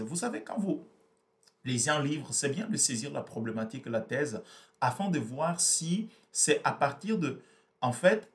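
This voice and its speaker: A man whose first language is Portuguese, explaining a book in a hesitant, repetitive way with many fillers. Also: mid-range voice. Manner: hesitant, repeats words, frequent fillers. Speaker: book reviewer. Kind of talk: explanatory book review talk.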